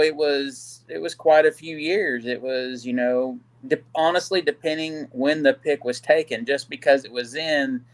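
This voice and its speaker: Man from Kansas, live-stream guest, average pitch, halting with lots of "you know.". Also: rough recording. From Kansas City, Kansas. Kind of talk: casual live-stream discussion.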